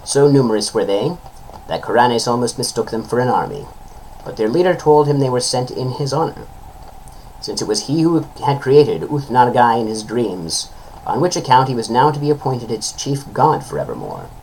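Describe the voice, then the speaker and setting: A man's voice: medium pitch, sing-song, normal speed, darkish tone, a little hiss or hum, low-quality recording. A man giving a solemn, formal dramatic reading of a literary tale, drawing out his phrase endings. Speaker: narrator, reader of a story.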